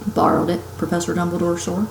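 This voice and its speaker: Storybook gentle giant, acted by a woman reader: shaggy big man, humble and emotional, echoey room, light background noise. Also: on the low side, level tone, brisk, gravelly. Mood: respectful. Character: huge, shaggy, soft-hearted, humble male giant.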